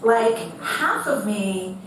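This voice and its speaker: Woman in her 40s, from New York, Hebrew-speaking family, salty self-mocking stage storytelling, booming hall echo, distant mic, faint room hum. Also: animated, drawn-out words, a bit bright. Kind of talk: comic personal talk before an audience. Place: event venue with audience. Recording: echoey hall, picked up from a distance.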